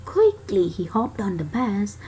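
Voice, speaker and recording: Woman, storybook narrator, lively swooping intonation, unhurried pace, dramatic emphasis. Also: mid-range voice, slight background noise, low-quality recording. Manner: animated, dramatic pauses and emphasis. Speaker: children's storybook reader. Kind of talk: expressive read-aloud narration of a children's story.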